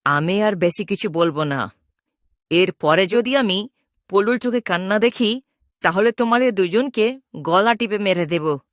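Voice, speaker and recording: A woman's voice: medium pitch, expressive, a little slow, long pauses, no room sound, studio quality, lightly acted. A woman voicing a protective, stern mother ghost in a cartoon, speaking in a firm, commanding manner. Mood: stern, threatening. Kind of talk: Bengali cartoon voice acting.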